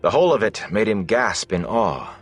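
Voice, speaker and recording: Low-voiced man narrating fantasy over music. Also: big pitch swings. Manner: measured, deliberate storytelling delivery. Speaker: audiobook narrator. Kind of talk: scripted dramatic fantasy narration.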